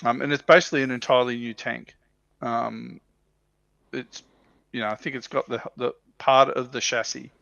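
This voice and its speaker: Bright, forceful man in a roomy lo-fi recording. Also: medium pitch, fairly even intonation, normal speed. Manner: frequent throat clearing. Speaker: livestream panelist on tabletop wargaming. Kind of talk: casual discussion, explaining slides. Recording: dull lo-fi roomy livestream audio.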